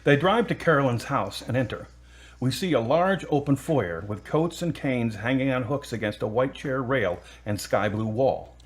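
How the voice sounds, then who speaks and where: A man's voice: mid-range voice, lively intonation, normal speed, husky. A man reading scripted narration for an audio drama.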